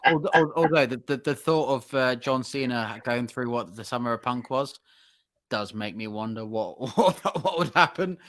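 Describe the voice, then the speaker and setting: Bright-voiced man hosting a podcast. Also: mid-range voice, no echo, clean studio sound. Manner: rambling, halting, with many fillers and restarts. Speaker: podcast host. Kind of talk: casual news chat.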